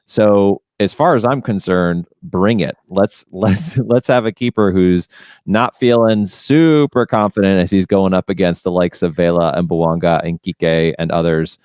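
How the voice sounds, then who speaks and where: Deep-voiced man moderating a panel. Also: unhurried, darkish tone, no echo, studio quality. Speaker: podcast host.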